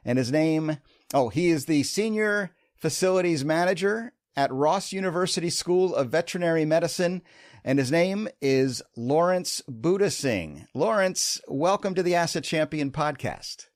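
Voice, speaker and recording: A man's voice: a little high, expressive, normal speed, dry recording, clean studio sound. A man hosting a podcast interview, upbeat and chatty, laughing easily. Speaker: podcast host.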